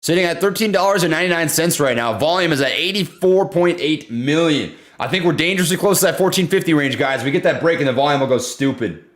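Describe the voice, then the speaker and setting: A young man hosting a casual livestream, talking loudly and excitably while reading chat. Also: on the high side, fast talker, few pauses. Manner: loud, excitable, says he always yells. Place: home room.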